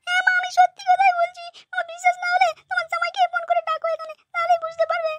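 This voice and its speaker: A tiny, squeaky-high young wife. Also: female voice, level tone, very fast, fairly bright tone, a little hiss or hum. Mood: plaintive. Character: distressed, naive young married daughter, complaining. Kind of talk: cartoon comedy dialogue.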